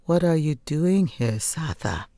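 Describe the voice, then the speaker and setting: Woman acting a stern, low-voiced scolding mother. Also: expressive, unhurried, no echo. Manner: sharp, reproachful, urgent. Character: stern, bitter, scolding mother of a grown daughter. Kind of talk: audiobook character voice.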